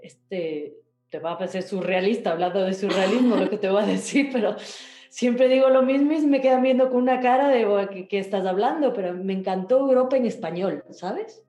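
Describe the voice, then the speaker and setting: A woman's voice: medium pitch, a little fast, dark tone, echoey room, soft background music. A woman talks casually as an interview guest, in a chatty, rambling way, often checking back with the listener. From Ecuador.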